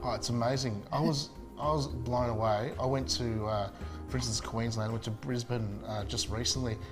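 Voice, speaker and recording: A man's voice: mid-range voice, steady tone, normal speed, over music. A man, a chef chatting casually and enthusiastically in an interview, with many fillers and restarts. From Spain.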